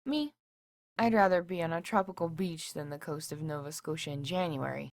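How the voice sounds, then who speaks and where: A woman's voice: deep voice, moderate pace, no echo. A woman playing a chatty, wry small-town hotel clerk narrating her diary in an audio story. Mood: wry, gossipy.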